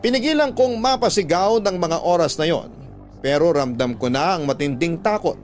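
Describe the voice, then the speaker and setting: Radio drama narrator: actor as haunted young man, slightly high voice, fearful first-person telling, music underneath. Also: animated, normal speed, close to an everyday voice. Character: haunted young man confiding his frightening experiences. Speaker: narrator of a letter.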